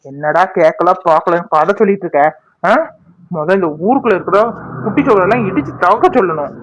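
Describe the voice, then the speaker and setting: A woman's voice: fairly low pitch, a little fast, muffled recording, close to an everyday voice. A woman acting a fierce, scolding village woman in a drama, with a confrontational, threatening manner. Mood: angry scolding. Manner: confrontational, threatening, rhetorical questions.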